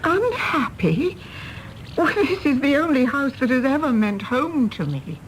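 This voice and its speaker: An actress playing a gentle, apologetic middle-aged Englishwoman, hesitant and genteel, anxious and ashamed, in British radio drama style. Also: high voice, big pitch swings, measured pace, a little hiss or hum, low-quality recording. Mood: subdued, regretful.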